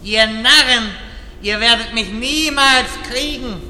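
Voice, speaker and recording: A theatrical evil wizard gloating in a fantasy parody radio play. The voice is high and piercing, stretched out slowly, and swims in long reverb. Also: male voice, pushed and bright, exaggerated voice. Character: taunting, theatrical villainous male wizard. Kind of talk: parody fantasy audio drama. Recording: long reverb, faint noise.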